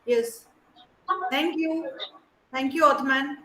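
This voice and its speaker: Bright high-voiced woman counselor. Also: takes it slowly, roomy sound. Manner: calls on students one by one, hesitant fillers. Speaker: academic counselor. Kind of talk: leading an online class introduction. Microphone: video call.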